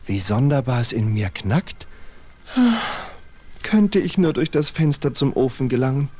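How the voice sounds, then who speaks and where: A man's voice: mid-range voice, big pitch swings, unhurried, warm tone. A man playing a naive, lovesick snowman with an earnest, wondering manner in a children's fairy-tale audio drama. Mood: longing.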